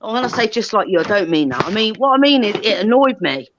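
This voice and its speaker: A woman's voice: medium pitch, sing-song, quick pace, highs cut off, background noise. A woman, chatting candidly in a blunt, sweary, emphatic way. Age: 30s. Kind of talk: candid casual chat.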